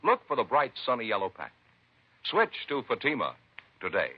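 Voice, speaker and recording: A man's voice: mid-range voice, wildly swooping pitch, normal speed, husky, dry recording. A man with an American accent delivering an emphatic, salesmanlike 1950s radio advertisement. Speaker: commercial announcer. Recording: dull vintage radio recording.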